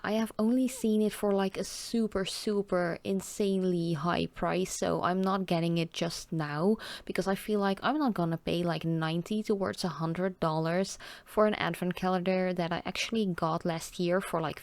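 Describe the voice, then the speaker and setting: A woman in her thirties with a Dutch accent, chatting cheerfully through a nail polish swatch and sometimes dropping to a soft whisper while she paints. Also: lowish voice, fairly even intonation, moderate pace, hardly any pauses, dry recording. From Netherlands. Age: 30s. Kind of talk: casual talk-through over close-up swatching.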